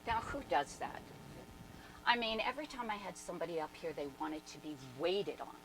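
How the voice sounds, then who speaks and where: A woman performing a dramatic reading as an awestruck devotee who fondly recalls her spiritual master, theatrical and emphatic, with deliberate pauses. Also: lowish voice, animated. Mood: marveling, fond. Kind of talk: dramatic reading of a first-person reminiscence. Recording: noisy live recording.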